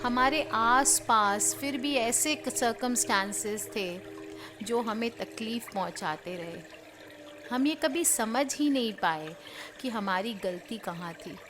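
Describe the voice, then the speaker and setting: An Indian woman leading a spiritual healing guided meditation in measured short phrases with pauses between them. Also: a little high, lively intonation. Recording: clear background noise of trickling water.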